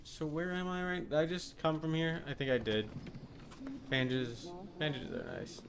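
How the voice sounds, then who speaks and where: A man's voice: fairly high pitch, moderate pace, noisy background. A man doing casual live video game commentary, talking wryly to himself and to the game's enemies. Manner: talks to self and game enemies, wry.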